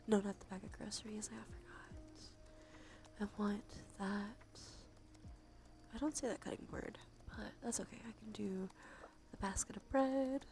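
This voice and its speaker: A woman livestreamer talking casually in a soft, breathy whisper with vocal fry, in an informal ASMR game stream style. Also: mid-range voice. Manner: sweary, informal. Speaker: livestreamer and host.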